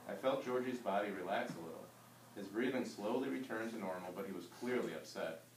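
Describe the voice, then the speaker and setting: A man's voice: on the low side, brisk. A man teaching a class, reading a story aloud and putting questions to his pupils. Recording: roomy distant mic with background noise.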